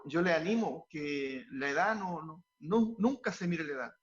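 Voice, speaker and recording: A man's voice: a little high, normal speed, highs cut off. A man, a pastor, answering interview questions earnestly, often repeating words as he restarts, and sliding into a preaching tone.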